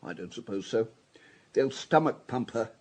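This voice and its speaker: An actor playing an obliging but world-weary hotel floor waiter with a husky voice in a radio drama. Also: male voice, medium pitch, lively intonation, normal speed, a little mellow. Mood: weary, gossipy.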